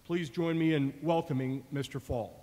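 Male panel moderator, 50s, slightly high voice, formal introductions, reverberant auditorium. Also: normal speed, very noisy. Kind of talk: prepared panel introduction to an audience. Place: large auditorium stage. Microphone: table stand microphone. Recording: echoey large hall through PA.

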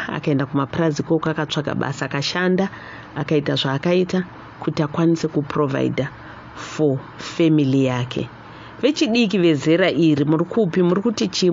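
A woman's voice: low voice, creaky, darkish tone. A middle-aged woman with a Zimbabwean accent hosting a live call-in radio show, switching between Shona and English as she talks and reads out messages. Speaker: radio talk show host. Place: small radio studio. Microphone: studio microphone on boom arm. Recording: muffled studio audio with faint noise.

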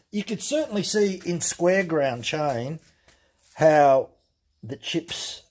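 A man explaining things step by step in a relaxed, plain-spoken way during a workshop demonstration. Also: mid-range voice, husky. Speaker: chainsaw channel host. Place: workshop bench.

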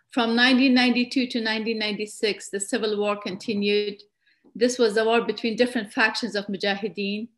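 A middle-aged woman from Afghanistan presents her slides over a webcam call. She talks in an earnest, measured way and often stops to restart a sentence. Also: mid-range voice, a bit bright. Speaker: guest presenter. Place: indoor room at home. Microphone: webcam on a video call.

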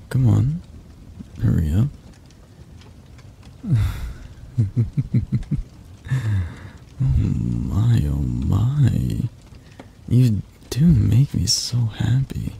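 A man playing a possessive, doting mafia boss in an ASMR roleplay, speaking in a husky, halting, intimate and condescending way. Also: on the low side, wildly swooping pitch, dark tone, a little hiss or hum, heavily acted. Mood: pleased, playful. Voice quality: husky, breathy. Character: possessive young male mafia boss, doting yet controlling.

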